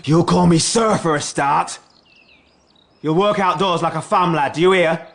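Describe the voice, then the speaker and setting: A man's voice: on the high side, unhurried, vocal fry, a bit bright, slight background noise. A man playing a bullying young master of the house in a radio period drama, domineering and barking orders.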